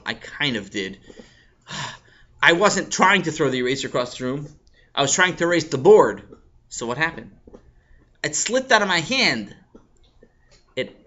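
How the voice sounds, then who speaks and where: A man's voice: mid-range voice, pushed and bright, muffled recording. A man voicing Avi, a clumsy, hapless schoolboy who explains his mishaps sheepishly. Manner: sheepish, defensive.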